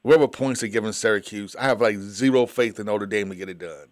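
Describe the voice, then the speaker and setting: A man's voice: on the low side, fast talker, dry recording. A man on a sports betting panel, blunt and teasing, laughing through his jokes. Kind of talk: casual sports talk.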